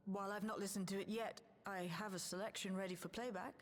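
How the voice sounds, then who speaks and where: A woman's voice: mid-range voice, steady tone, natural voice. Actress as composed, studious female researcher. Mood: composed, curious. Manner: measured, articulate, formal. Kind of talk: scripted video game voice acting.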